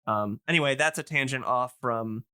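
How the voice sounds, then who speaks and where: A man's voice: mid-range voice, moderate pace, sharp tone, smooth, no echo, clean studio sound. A man in a casual podcast chat, explaining things enthusiastically and in a discursive, meandering way.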